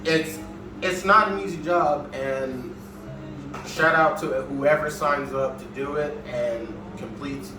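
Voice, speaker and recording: A man's voice: a little high, takes it slowly. Earnest man in an echoey room. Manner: earnest, reflective, swears casually. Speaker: podcast guest. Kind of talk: candid conversation. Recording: echoey room, far from mic, music behind.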